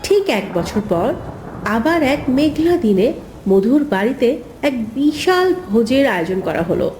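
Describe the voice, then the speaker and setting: A woman narrating an animated story in a scripted storybook style, with a roomy echo. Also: on the high side, measured pace, soft background music.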